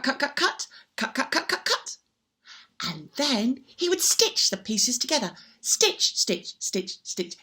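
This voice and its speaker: A woman telling a children's story in a theatrical, interactive way, with playful repeated sound words and drawn-out emphasis. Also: sits high, sharp tone. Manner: invites listeners to join in.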